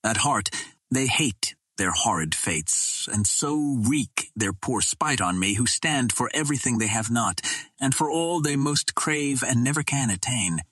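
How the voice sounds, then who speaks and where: A man's voice: mid-range voice, expressive, measured pace, fairly bright tone, slightly rough, no echo. A man voicing a proud, noble Martian princess in formal, stately words for an audiobook. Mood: proud, composed.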